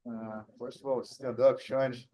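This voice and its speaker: Slow-spoken man leading a ceremony. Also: mid-range voice, level tone, mellow, a little hiss or hum. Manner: brief, directing the ceremony.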